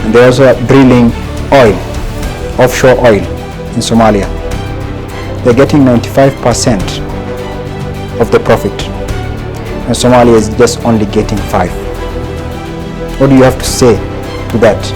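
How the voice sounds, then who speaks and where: A man's voice: medium pitch, fairly even intonation, normal speed, pauses often, music in the background. Man hosting a TV interview. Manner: frequent short backchannels. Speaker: interview host.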